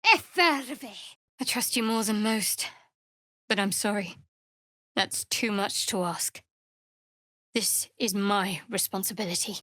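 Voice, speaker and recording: An actress playing a guarded, dryly sardonic young woman cleric of a dark goddess in a fantasy video game. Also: medium pitch, slow pace, pauses often, no room sound, studio quality. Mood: forceful incantation, then earnest.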